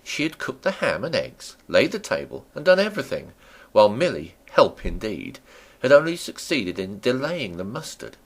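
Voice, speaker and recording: A man narrating a classic novel as a dramatic reading, with theatrical, deliberate phrasing. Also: mid-range voice, sing-song. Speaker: audiobook narrator.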